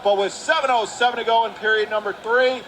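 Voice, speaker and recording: A male sports commentator with a notably high voice calls a live hockey game. He speaks in short, clipped phrases and lifts into excitement on big plays. Clear rink noise runs behind him. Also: quick pace. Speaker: radio play-by-play commentator. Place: indoor ice hockey arena.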